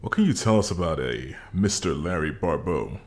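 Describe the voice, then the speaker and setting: A man plays a gruff middle-aged detective in an audio drama. His voice is deep and gravelly, terse and dry yet swinging in intonation. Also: lively intonation, normal speed. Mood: probing.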